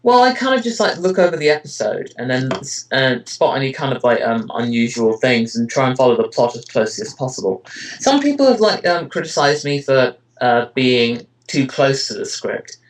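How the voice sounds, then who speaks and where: A man's voice: medium pitch, sing-song, moderate pace, low-quality recording. A man answering interview questions in casual chat, rambling hesitantly with lots of filler phrases. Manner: hesitant, rambling delivery with frequent "you know" and "kind of". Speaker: interviewee, amateur voice actor and web parody creator.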